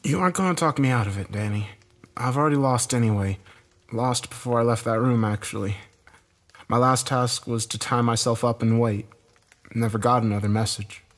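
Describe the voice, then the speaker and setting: A man's voice: medium pitch, moderate pace, voice pushed up, natural voice. A man voicing a desperate, bitter man in a dramatic story, agitated, urgent and given to swearing. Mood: resigned. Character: Josh, desperate bitter man, cornered game loser.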